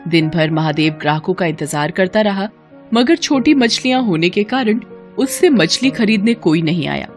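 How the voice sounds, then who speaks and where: A storyteller narrates a scripted cartoon tale in a mid-pitched voice. Music plays behind the narration. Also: animated, moderate pace.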